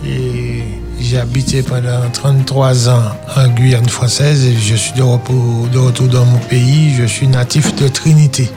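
Man in his fifties, slow halting testimony. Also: medium pitch, level tone, over music. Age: 50s. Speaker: guest giving testimony. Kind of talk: radio testimony. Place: radio studio. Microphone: studio microphone held a bit far off.

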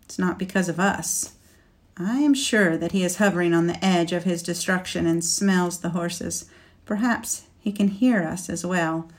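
Aina, a bitter young warrior woman full of grief and fury, voiced by a woman reading a storybook, speaks with fierce passion that swells toward a shout. Her tone sits a touch low for a woman. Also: moderate pace, natural voice. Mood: insistent. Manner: passionate, rising to near shouting. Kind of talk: audiobook character reading.

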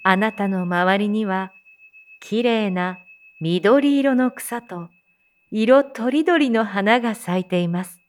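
Meditation teacher played by a woman for audio drama: extremely unhurried, calming, mid-pitched, noisy recording. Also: lightly acted. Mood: soothing. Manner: hushed, measured counting and gentle instructions. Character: soothing female teacher leading meditation amid zombie threat. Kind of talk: slow guided meditation in a voice drama.

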